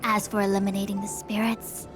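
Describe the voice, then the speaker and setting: An actress playing a brisk, authoritative young female official in a video game, giving formal instructions. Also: medium pitch, level tone, over music, natural voice. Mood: businesslike. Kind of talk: scripted video game dialogue.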